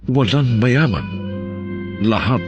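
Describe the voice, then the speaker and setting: A man's voice: medium pitch, expressive, slow, dull audio. A man with a Filipino accent giving solemn, dramatic, poetic narration for a radio drama. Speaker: narrator, host. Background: faint music.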